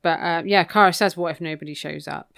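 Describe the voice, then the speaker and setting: A woman's voice: on the low side, steady tone, brisk, vocal fry, a bit bright, smooth. A British woman co-hosting a podcast, casually recapping a book in an informal, gossipy, wry way. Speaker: podcast co-host.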